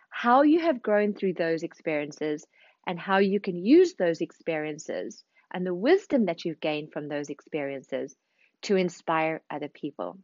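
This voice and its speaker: A woman's voice: mid-range voice, dry recording, highs cut off. A woman from Zimbabwe, raised in South Africa, with a South African accent, giving an earnest, reflective motivational podcast talk and repeating phrases for emphasis. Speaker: podcast host, speaking coach.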